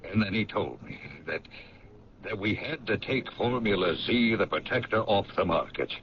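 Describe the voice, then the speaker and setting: A man's voice: fairly low pitch, expressive, normal speed, a little hiss or hum. An actor playing an easygoing but evasive businessman who hesitates and stalls under questioning. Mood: confessing. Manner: evasive, hesitant, nervous laughs. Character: easygoing, evasive male paint-company businessman. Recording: dull lo-fi 1970s radio play.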